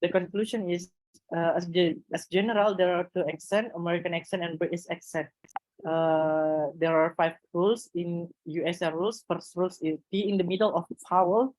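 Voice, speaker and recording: A woman moderating an online class, reading a lesson summary in a hesitant, halting way with many fillers. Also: on the low side, fairly even intonation, a little mellow, dry recording, rough recording. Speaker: student moderator.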